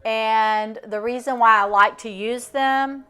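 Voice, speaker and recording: A woman runs a live online trading lesson, talking through lines on a chart in a loose, chatty way. Her voice sits a little high and sounds bright and forward. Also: steady tone, slow, smooth. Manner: chatty, explains while pointing at a chart. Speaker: trading instructor. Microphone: microphone first left off, then turned on.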